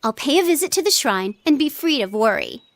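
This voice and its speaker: Anime game role: gentle, reserved teen girl, polite and earnest, very high voice, dry close recording. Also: big pitch swings, a little fast, dry recording. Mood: shy, hesitant. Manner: polite, thoughtful. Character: earnest, reserved teenage girl, gentle, considerate, quietly stubborn. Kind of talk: anime video game voice acting.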